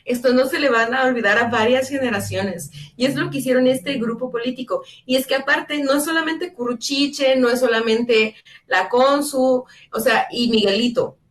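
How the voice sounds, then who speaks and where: A woman who grew up in El Salvador, co-hosting a casual political chat with sarcastic jokes and quick restarts. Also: on the high side. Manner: sarcastic, jokey, fast-talking with restarts. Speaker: co-host.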